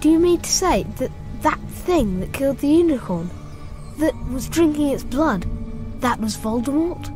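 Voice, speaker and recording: High-voiced earnest English schoolboy. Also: female voice, lively intonation, normal speed. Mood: shaken, questioning. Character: earnest anxious young schoolboy hero. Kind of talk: dramatic game cutscene dialogue. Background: music.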